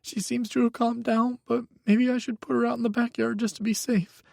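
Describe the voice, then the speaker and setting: A man's voice: extremely high pitch, flat delivery, a little fast, vocal fry, darkish tone, no room sound, studio quality, voice pushed up, full character voice. A man voicing a timid, frightened young man who sounds tearful and shaky. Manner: trembling, tearful.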